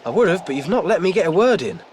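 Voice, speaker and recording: A man's voice: high-pitched, sing-song, fast, darkish tone, slight background noise. An actor playing a cheeky, grumbling teenage boy wizard with a British accent in a video game. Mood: urgent, grumbling. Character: teenage boy wizard, grumbling, joking, plain-spoken best friend. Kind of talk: video game cutscene acting.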